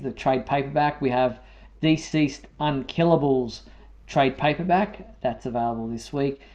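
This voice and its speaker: A man's voice: medium pitch, darkish tone, highs cut off. Male host of an online news show.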